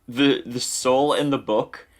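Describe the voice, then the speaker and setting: A man giving sarcastic, animated joking commentary over a film. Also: mid-range voice, takes it slowly. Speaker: comedy riffer.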